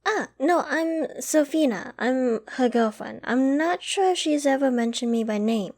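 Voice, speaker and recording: A woman playing a caring, affectionate and gently teasing girlfriend in an ASMR roleplay, with a coaxing, playfully flustered manner. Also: high voice, lively intonation, measured pace, heavily acted. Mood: polite, businesslike.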